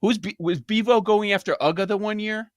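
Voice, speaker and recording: A man hosting a sports betting show, animated and laughing through casual banter. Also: high-pitched, brisk, no echo, studio quality. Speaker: podcast host.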